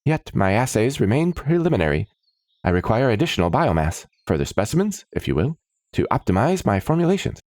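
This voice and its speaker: A man's voice: medium pitch, very animated, brisk, darkish tone, dry recording, studio quality, voice pushed up, close to an everyday voice. A man voicing an eager, chirpy woman alchemist doctor in a fantasy story, speaking in precise, wordy scientific jargon. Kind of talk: fantasy story character dialogue.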